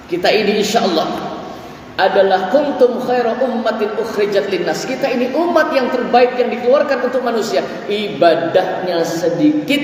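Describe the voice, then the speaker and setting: A man in his forties preaches at dawn in a high, ringing voice, drawing his phrases out and reciting Arabic verses. He sounds distant from the microphone in a big echoing hall, and a faint hum sits behind him. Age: 40s. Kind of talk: dawn mosque sermon. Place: mosque. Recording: echoey hall, voice from far off.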